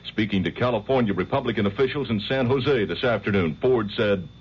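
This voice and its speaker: Man reading 1970s radio news. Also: fairly low pitch. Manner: crisp, measured news delivery. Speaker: network news anchor. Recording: dull old radio broadcast with faint hiss.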